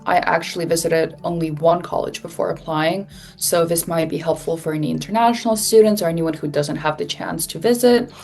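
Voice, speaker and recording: A woman's voice: on the low side, steady tone, brisk, gravelly, hardly any pauses, a little mellow. A young woman from Russia who grew up in Switzerland, a college student explaining admissions in a webinar with frequent fillers. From Russia, raised in Switzerland. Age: young adult. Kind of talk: webinar presentation.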